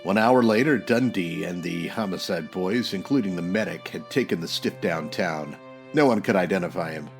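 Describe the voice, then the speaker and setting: A man playing a wry, deadpan private eye narrating his case in slangy old-time radio detective style. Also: sits low, moderate pace. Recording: music under the voice.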